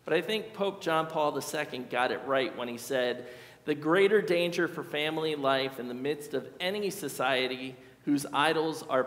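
A middle-aged male pastor delivers a sermon in a measured, earnest voice that sits somewhat high for a man. He slows down to repeat key phrases for emphasis. His voice rings through a large sanctuary with a long, echoing reverb. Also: a bit bright. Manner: earnest, measured preaching with slow emphatic repetitions. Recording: echoey church hall.

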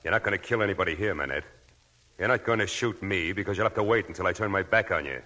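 An actor playing a steady young army soldier, firm and earnest, in 1940s radio acting. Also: male voice, deep voice, fast, highs cut off. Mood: tense, firm. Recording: old 1940s radio broadcast with music.

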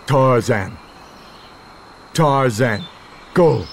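An actor playing a gentle, earnest wild jungle man from a video game, speaking in halting, broken single words. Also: mid-range voice, animated, gravelly, a little hiss or hum, heavily acted. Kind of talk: video game cutscene acting.

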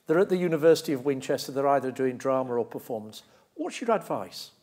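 A man's voice: medium pitch, expressive, fast talker, a little mellow. A middle-aged man hosts an interview on stage. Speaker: interviewer, host. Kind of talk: onstage interview. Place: large lecture theatre stage, seated before an audience.

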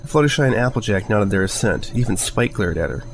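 A man's voice: fairly low pitch, big pitch swings, brisk, slight background noise. A man narrating a scripted piece of fan fiction as a storyteller.

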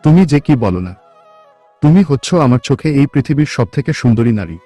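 A man playing a simple, devoted young village farmer in a cartoon, earnest and gentle. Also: mid-range voice, animated, fast, no echo, natural voice. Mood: affectionate. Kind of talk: cartoon voice acting. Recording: music behind the voice.

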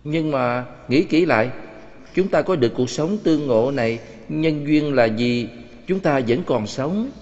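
Male actor as earnest pilgrim, lively pitch, unhurried, cartoon dub, long reverb. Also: close to an everyday voice. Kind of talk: dubbed animation acting. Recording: muffled audio with long reverb.

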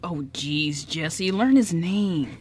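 A woman's voice: fairly low pitch, lively intonation, darkish tone, a little hiss or hum. A woman playing a nervous, easily embarrassed young male actor with a hesitant manner, in an amateur radio play. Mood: exasperated. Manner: hesitant, halting.

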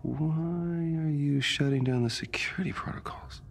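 An actor playing a weary, awkward middle-aged American scientist in a cinematic game, hesitant and skeptical. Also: male voice, fairly high pitch, steady tone, moderate pace, creaky, a little hiss or hum. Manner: hesitant, mumbling, stammering. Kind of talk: cinematic video game acting.

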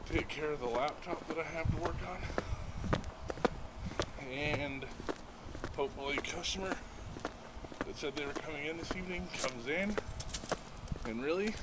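Raspy man vlogging outdoors on the move. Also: a little high, very noisy. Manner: rambling, self-deprecating, walking while talking. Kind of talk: casual daily vlog. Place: outdoors by a road and parking lots. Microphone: handheld camera at arm's length.

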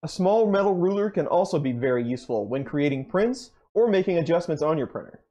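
A young man hosting a channel, briskly running through a list of tools in a scripted, upbeat way with dry jokes, recorded in a basement workshop. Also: a little high, sing-song, dark tone, muffled recording. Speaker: channel host. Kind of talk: scripted tool rundown.

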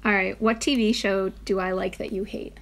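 A woman's voice: mid-range voice, fairly even intonation, moderate pace, gravelly, fairly bright tone. A young woman in a small bedroom, reading quiz questions to her fiancé in a giggly, teasing way, picked up by a camera on a tripod. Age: young adult. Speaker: vlog co-host asking questions. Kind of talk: casual couple chat.

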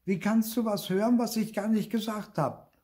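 A man's voice: on the high side, big pitch swings, measured pace, dark tone, pitched above the performer's own voice. A man playing a small child, a doubting and argumentative younger sibling, in a children's story. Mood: indignant. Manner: indignant, sceptical, persistent.

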